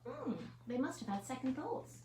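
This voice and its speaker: A woman's voice: high-pitched, huge pitch swings, normal speed, a little mellow. A woman leading children's story time as a teacher, encouraging and asking her listeners questions. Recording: echoey room, faint noise.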